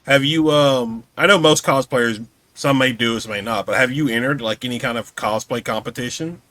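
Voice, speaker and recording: A man interviewing casually as a podcast host, haltingly rewording his questions as he goes. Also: medium pitch, a bit bright, no echo.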